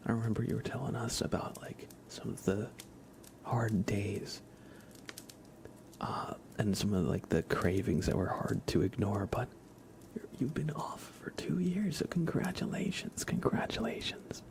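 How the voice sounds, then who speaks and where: A man hosting an ASMR livestream, speaking softly and breathily in a gentle, soothing, unhurried way, often slipping into a whisper. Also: on the low side, lively intonation, creaky. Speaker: livestream host. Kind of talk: ASMR livestream, chatting to viewers.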